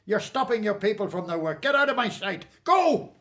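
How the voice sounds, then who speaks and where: An actor playing a haughty, irritable Egyptian Pharaoh in a radio drama, imperious and dismissive. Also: male voice, high-pitched, sing-song, moderate pace, pushed and bright, heavily acted. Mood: angry, commanding.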